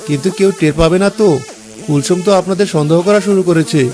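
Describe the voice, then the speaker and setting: A man's voice: fairly high pitch, fast, mellow, soft background music, lightly acted. A man voicing a two-faced, opportunistic young husband in a cartoon, with an ingratiating manner. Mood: conspiring. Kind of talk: Bengali cartoon dialogue.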